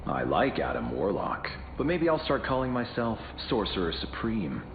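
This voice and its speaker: A man's voice: on the low side, wildly swooping pitch, normal speed, reverberant, narrow, tinny audio, slight background noise. A man voicing an earnest, proud cosmic hero in a video game. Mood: musing.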